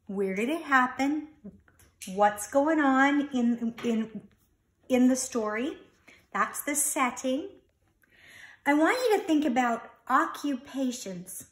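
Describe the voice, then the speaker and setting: A middle-aged woman reads and explains a picture book to young children in an expressive, deliberate, instructive way. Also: high voice, roomy sound. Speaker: children's story reader and teacher. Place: carpeted or wood-floored living room, indoors.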